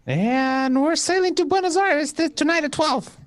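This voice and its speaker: A woman playing a high-voiced, fussy servant. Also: normal speed, no echo, studio quality, natural voice. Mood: dry, fussy. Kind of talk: old-fashioned radio play acting.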